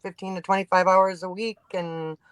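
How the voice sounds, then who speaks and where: A man's voice: high-pitched, level tone, moderate pace, fairly bright tone, clean tone, no echo. Joke-telling man on a dry line. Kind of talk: casual radio chat.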